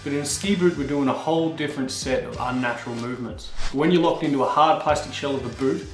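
A man in his thirties hosting a ski gear tutorial, talking straight to camera in a casual, energetic way. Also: medium pitch, quick pace. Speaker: host. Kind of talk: upbeat instructional vlog. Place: small indoor room with skis on wall. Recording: roomy echo with faint music.

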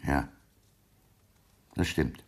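An actor playing a stern, indignant old father-in-law in a radio play, grave and halting, with a gravelly voice. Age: older.

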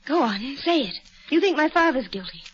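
A woman's voice: sits high, animated, normal speed. An actress playing an earnest young woman pleading for her father's innocence in a 1940s radio drama. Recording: dull lo-fi vintage radio broadcast.

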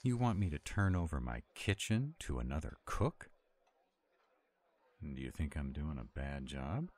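A very low, swinging male voice for a roleplay audio acted by a man: a grumpy were-dragon cook who doubts himself. He grumbles defensively, with tenderness under the gruffness. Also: sing-song, measured pace, a little mellow, full character voice. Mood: wary.

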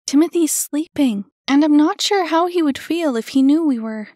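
A woman voicing an anxious, nervy young woman who speaks high and urgently. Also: animated, moderate pace, darkish tone, no room sound, studio quality.

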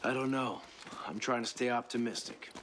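An actor playing a resolute mercenary squad leader in an action video game, terse and commanding. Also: male voice, mid-range voice, moderate pace, fairly bright tone, slight background noise, close to an everyday voice. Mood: grim, low.

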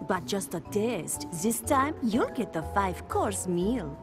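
Sultry, animated femme fatale. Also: female voice, medium pitch, slow pace, music in the background. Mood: seductive, teasing. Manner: coy, condescending, seductive. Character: sultry, teasing, manipulative woman, assassin contest agent. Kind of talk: dramatic video game acting.

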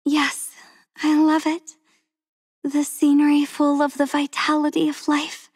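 A woman voicing a gentle, devoted young woman soldier in a game, speaking softly and tenderly with frequent breathy whispers, recorded on a close studio mic. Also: high-pitched, completely flat delivery, unhurried, no room sound. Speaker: voice actress for a game character. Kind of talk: game character voice lines.

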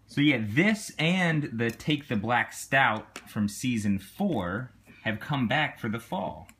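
A man's voice: medium pitch, expressive, takes it slowly, fairly bright tone, a little hiss or hum. A young man reviewing a beer in casual chat, laid-back and swearing now and then, recorded by a camera on the table in a home living room. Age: young adult.

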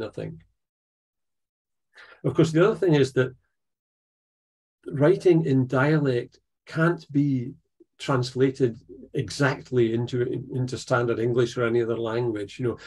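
A man in his sixties with a Dundee Scots accent, a poet leading an online writing workshop over a video call from an attic room at home, thoughtful and unhurried, with frequent restarts. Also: mid-range voice, darkish tone, breathy edge. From Scotland. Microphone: video call, laptop camera.

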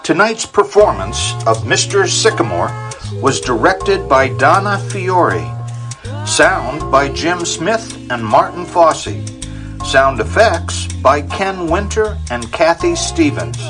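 A measured announcer reading the closing credits with a lively lilt. Clear guitar music plays behind the voice. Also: mid-range voice.

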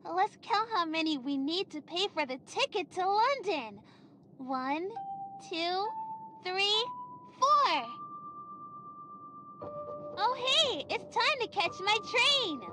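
A woman's voice: very high voice, expressive, music in the background, full character voice. A woman voicing a young cartoon girl who hosts a children's show in a video game, talking to viewers in a singsong way. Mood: excited. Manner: singsong, slow counting, addressing young viewers.

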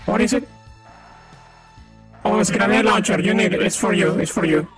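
A man with a high voice and a thick Venezuelan accent from Maracaibo chats and laughs through a co-op shooter stream. He is a native Spanish speaker who keeps calling out enemies. Loud game music plays behind him. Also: moderate pace. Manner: chatty, laughs often, calls out enemies. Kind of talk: casual co-op gaming chat.

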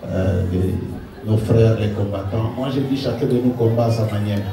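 A man's voice: on the low side, moderate pace. Man addressing a concert crowd from far off. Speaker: gospel singer on stage. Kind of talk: stage address to a concert audience. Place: large concert hall stage. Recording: echoey concert hall from far off, band and crowd.

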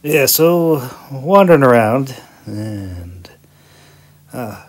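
A man's voice: mid-range voice, very animated, extremely slow, breathy edge. A man giving a casual, rambling vlog voice-over in a hesitant, self-deprecating, chuckling way. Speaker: video creator and hobby audiobook narrator.

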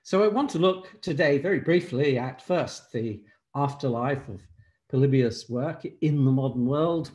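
An older man, an emeritus professor, giving a measured academic keynote over a video call from a room at home, pausing often on "uh". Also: medium pitch, darkish tone. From Ireland. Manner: measured scholarly delivery with frequent "uh" pauses. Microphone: laptop webcam on a video call.

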